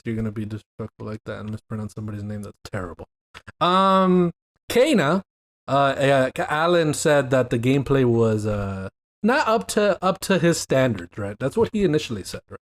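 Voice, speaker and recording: This is a man from Miami who speaks with a Miami accent, hosting a loose video podcast. His talk is casual and rambling, full of jokes and restarts, and his voice sits at an ordinary middle pitch. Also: animated, normal speed. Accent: Miami English. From Miami, USA. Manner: rambling and jokey, repeats "you know what I mean". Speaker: podcast host. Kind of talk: casual chat.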